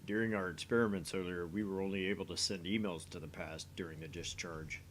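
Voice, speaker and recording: A man's voice: low-pitched, fairly even intonation, a little fast, a little hiss or hum, lightly acted. A man playing a theatrical, pompous young self-styled mad scientist in a visual novel, switching between grand pronouncements and narration. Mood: explanatory. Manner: grandiose declarations, quieter asides as narrator.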